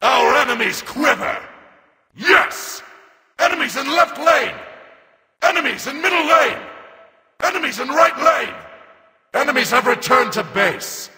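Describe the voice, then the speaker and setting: A battle-hungry mechanical reaper from a video game. The voice is extremely high for a male and very tense, with a gravelly edge. Short commands are barked grimly and forcefully. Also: expressive, measured pace, pauses often, long reverb tail, exaggerated voice. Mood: commanding, battle-fierce. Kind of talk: video game character callouts.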